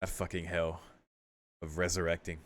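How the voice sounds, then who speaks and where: A man with a fairly low voice reads visual novel text aloud for a let's play. He drifts between narration and casual, sweary asides, muttering his reactions as he goes. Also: level tone, normal speed. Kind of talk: casual gaming commentary mixed with read-aloud narration.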